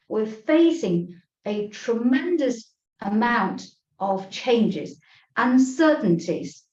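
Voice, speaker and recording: A woman whose first language is Chinese, giving an earnest online tai chi lecture in English, measured, with frequent pauses and restarts. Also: on the high side, a little mellow. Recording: roomy, distant, dull lo-fi sound.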